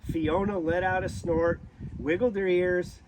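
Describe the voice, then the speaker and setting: Male storybook reader, high-pitched and unhurried, deliberate delivery, faint background noise. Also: steady tone, darkish tone, low-quality recording. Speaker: zoo director reading a storybook. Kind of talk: children's storybook read-aloud.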